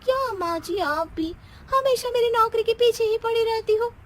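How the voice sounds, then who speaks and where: A woman playing an anxious, nagging younger woman of the household in an animated story, pleading and exasperated. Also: very high-pitched, normal speed. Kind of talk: Hindi animated story dialogue.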